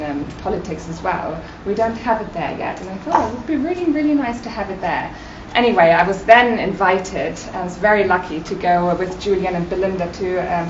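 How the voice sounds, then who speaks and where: A woman from Germany, a native German speaker, giving an informal, appreciative impromptu speech at a forum. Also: on the low side. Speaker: guest speaker, care project manager and doctoral researcher. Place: meeting room at a university campus. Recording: echoey room, distant mic, muffled, loud noise.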